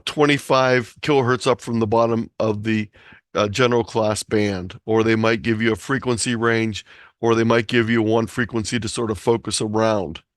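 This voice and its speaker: A man's voice: mid-range voice, no room sound, clean studio sound. An American man giving an informal, explanatory online presentation, talking listeners through practical instructions as a presenter. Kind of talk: informal slideshow lecture on a hobby. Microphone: close computer or headset mic on a video call.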